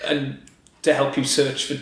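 A man's voice: mid-range voice, monotone, normal speed. A man hosting a podcast interview in a casual, chatty way. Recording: very echoey room.

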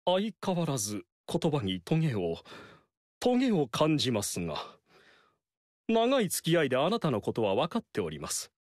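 A man's voice: on the high side, huge pitch swings, normal speed, a little mellow, dry recording. An actor voicing a shrewd male strategist in a game, formal and wry. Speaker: game character. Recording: dry studio recording.

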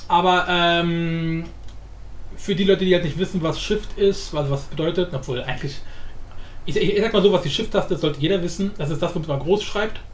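Guy doing a chatty screen tutorial, pretty high voice, jokey asides, echoey room. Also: brisk. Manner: informal, jokey, self-interrupting asides. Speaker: tutorial presenter. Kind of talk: casual screen-recorded software tutorial. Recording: roomy echo, faint background noise.

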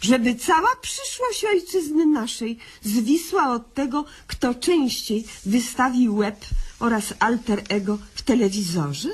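A woman's voice: a little high, expressive, a little slow, breathy edge. A woman playing a naive, eager young doctor in a satirical radio sketch, speaking in flowery, mock-solemn phrases. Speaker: series lead.

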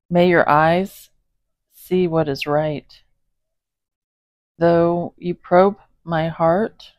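A woman's voice: on the low side, darkish tone, no echo. A woman hosting a home video, chatting casually and reading a psalm aloud, with chatty apologetic asides. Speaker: host reading scripture. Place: home, indoors, voice behind camera filming a cat.

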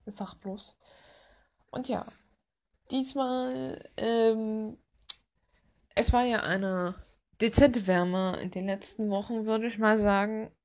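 A woman's voice: fairly high pitch, fairly even intonation, takes it slowly, long pauses, darkish tone. A young woman chatting casually in an informal, playfully ironic way, as a vlogger narrating over a drawing video. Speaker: vlogger and illustrator.

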